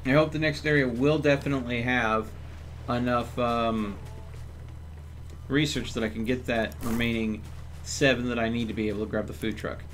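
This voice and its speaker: A man's voice: mid-range voice, steady tone, normal speed, fairly bright tone, a little hiss or hum. A man hosting a livestream, casually talking through his game step by step and chatting with viewers. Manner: thinks aloud step by step.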